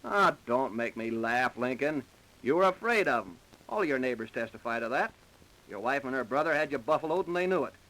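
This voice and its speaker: Dogged American police captain, skeptical interrogator, mid-pitched, 1930s radio crime style, vintage sound. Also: male voice, expressive, a little fast, sharp tone, poor audio. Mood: hard, insistent. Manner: sardonic, pressing, plain-spoken.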